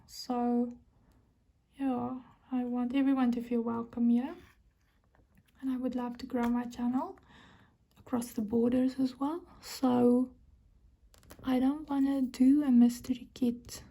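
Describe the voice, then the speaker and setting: A woman's voice: fairly high pitch, little pitch movement, takes it slowly, vocal fry, pauses often, mellow. A woman from South Africa with a South African accent, a native Afrikaans speaker, chatting casually while she works on a craft and often dropping to a whisper as she counts. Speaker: crafting vlogger.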